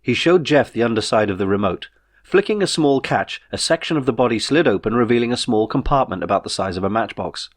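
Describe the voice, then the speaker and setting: A man reading his own novel as a scripted audiobook, in a measured storytelling manner. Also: mid-range voice, lively intonation.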